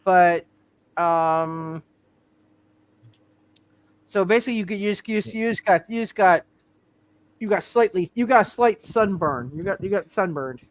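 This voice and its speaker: A man with a high-pitched voice runs a tabletop game as game master. He speaks casually and haltingly, stammering and restarting phrases while he works through the rules. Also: no room sound. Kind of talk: casual live tabletop superhero game talk, working out rules.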